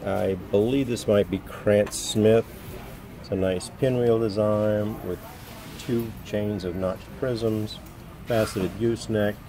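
A man selling antiques, calmly describing each item and its price, with frequent pauses and ums, filmed on a phone at a show booth. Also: on the low side. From United States. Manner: slow, matter-of-fact, pausing with ums. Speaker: antiques dealer. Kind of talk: walking sales tour of items. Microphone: phone camera held while filming. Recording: noisy show floor behind.

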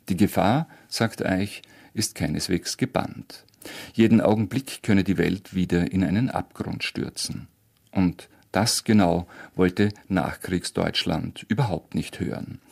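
A man's voice: low-pitched, gravelly, darkish tone. A man hosting a radio programme with scripted narration. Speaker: radio host.

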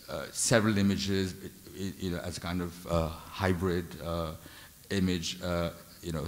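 An older man delivers an art history talk from a lectern mic, his voice very low. He hesitates constantly, restarting phrases and filling gaps with "uh" and "you know". The sound carries a long reverb from a large lecture hall. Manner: hesitant, many fillers and restarts. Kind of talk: unscripted art lecture with slides. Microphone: lectern microphone.

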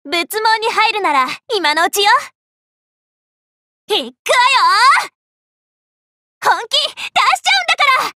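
A woman's voice: very high-pitched, very animated, takes it slowly, long pauses, bright, forceful tone, exaggerated voice. A woman voicing a spirited, cheerful young female Buddhist monk in an anime game, with a bubbly, emphatic delivery. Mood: confident, eager. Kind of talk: Japanese anime game battle voice lines.

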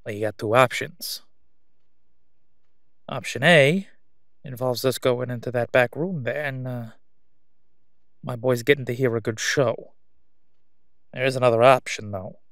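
A man's voice: medium pitch, fairly bright tone, no room sound, studio quality. Man acting out a sleazy, menacing crime boss. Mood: slyly coaxing. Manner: oily, taunting, drawn-out delivery with stalling pauses. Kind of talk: solo audio roleplay acting.

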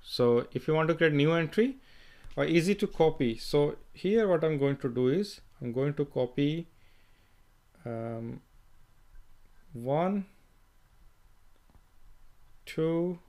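A man talking through a screen-recorded software tutorial, thinking aloud as he works, with fillers and restarts. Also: on the high side, animated, takes it slowly, pauses often. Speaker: software trainer.

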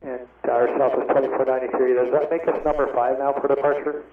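Fast male pilot on tinny radio. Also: mid-range voice, little pitch movement, roomy sound, far from the mic. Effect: aviation radio. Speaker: airline or jet pilot. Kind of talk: brief radio replies. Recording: tinny, noisy cockpit radio.

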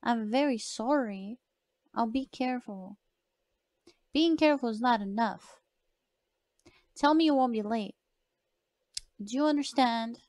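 A woman streamer with a soft voice, chatting casually as she plays a horror game and reads its lines aloud. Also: fairly high pitch, a little slow, pauses often, dry recording. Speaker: gaming streamer. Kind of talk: casual let's-play commentary.

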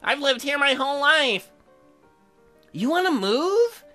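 Man as young woman, lovestruck, restless, overdramatic, raised animated pitch, visual novel game. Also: slow, soft background music, exaggerated voice.

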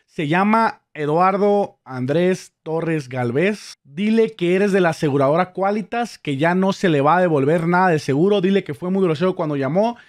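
High-pitched young Mexican man, prank caller. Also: normal speed, hardly any pauses. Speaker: prank-call host posing as an insurance executive. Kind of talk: casual improvised prank phone call. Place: small indoor streaming room. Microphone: studio microphone on boom arm, close.

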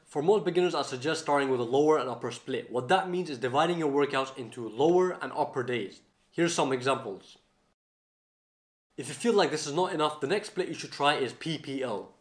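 A man in his twenties giving instructional advice to camera as a fitness coach, recorded in a roomy kitchen. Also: fairly high pitch. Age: 20s. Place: home kitchen, indoors.

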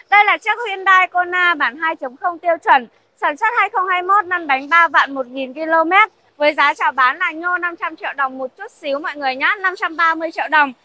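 Bright high-voiced young saleswoman. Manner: chatty, repeats herself, addresses viewers as "các bác". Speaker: used car salesperson. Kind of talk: casual showroom sales walkthrough. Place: in a car and a car showroom.

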